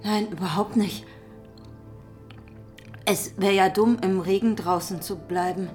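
A woman's voice: mid-range voice, fairly even intonation, a little slow, a little mellow, faint music behind, lightly acted. An actress in a German radio drama playing a guarded, politely accommodating country woman. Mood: wary, polite.

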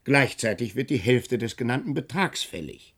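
An actor playing a smug, officious tax inspector in a German radio drama, chatty and unctuous with false courtesy. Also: male voice, mid-range voice, lively intonation, normal speed, a bit bright.

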